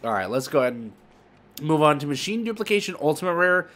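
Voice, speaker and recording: A man's voice: fairly high pitch, big pitch swings, quick pace, fairly bright tone. A man hosting a market video, giving casual, rambling commentary and thinking aloud as he goes. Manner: rambling, thinking aloud while browsing listings. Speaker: host of a trading card market video. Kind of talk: casual screen-share commentary.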